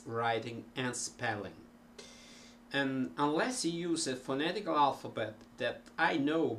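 A middle-aged man with an Italian accent, talking casually to a webcam about learning languages, slow and full of ums and restarts. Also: mid-range voice, a bit bright, slight background noise. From Italy. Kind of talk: casual talk to camera. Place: cluttered home music room.

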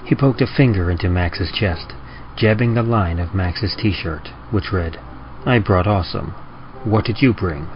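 A deep-voiced man narrates horror in a measured, dramatic way. He reads slowly with deliberate pauses, over clear background noise. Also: lo-fi sound. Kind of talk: dramatic scripted horror reading.

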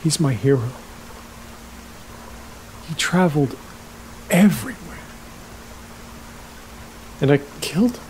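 An actor playing a lonely, awkward middle-aged rental host who rambles haltingly and repeats himself in a naturalistic audio drama. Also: male voice, mid-range voice, a little mellow, a little hiss or hum, natural voice, poor audio.

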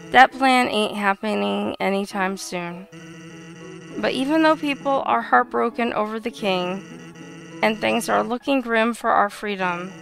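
A woman's voice: a little high, gravelly, fairly bright tone, rough recording. A woman voicing a laid-back, kindly male skeleton in a casual, understated way for a video game. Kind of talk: video game dialogue reading.

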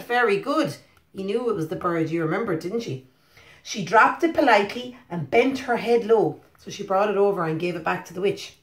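A woman with an Irish accent reads a picture book to young children, animated and encouraging, often asking them questions. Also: mid-range voice. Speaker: preschool teacher. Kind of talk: interactive storybook reading for young children. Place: living room indoors. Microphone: phone at about arm's length. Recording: roomy home phone recording.

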